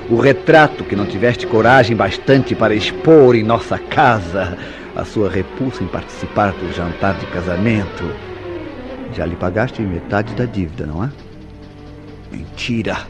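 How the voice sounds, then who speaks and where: A man's voice: on the low side, moderate pace, lightly acted. A man with a Brazilian accent playing a jealous, suspicious husband in a radio soap opera, insistent and accusatory in delivery. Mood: bitter, furious. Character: jealous, suspicious husband interrogating his wife. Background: music behind the voice.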